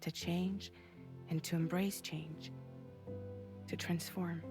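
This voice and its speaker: An actress playing a calm, gentle woman giving measured, hushed reassurance in a video game drama. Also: fairly low pitch. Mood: tender, solemn. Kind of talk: dramatic game cutscene acting. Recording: music behind.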